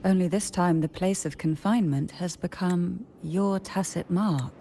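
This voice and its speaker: A woman playing a composed, elegant and enigmatic lady in a video game, speaking calmly and coolly. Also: on the low side, unhurried, slight background noise. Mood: calm, analytical.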